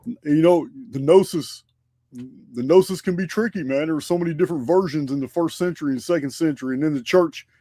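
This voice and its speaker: A man's voice: a little high, a little fast, dark tone, no echo. A man hosting a live online show, chatting casually and often restarting his sentences. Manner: rambling, repeats and restarts phrases. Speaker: podcast host. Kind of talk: casual live-stream chat. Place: home studio.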